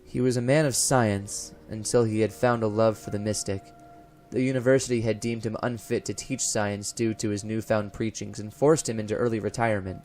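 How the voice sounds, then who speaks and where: A man playing a haunted college professor unraveling into paranoid dread, narrating a horror audio drama in a formal, deliberate, theatrical manner. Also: fairly low pitch, steady tone, natural voice. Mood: grim dread. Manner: formal, deliberate, theatrical, swelling from hushed to frantic. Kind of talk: first-person gothic horror audio narration. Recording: music behind the voice.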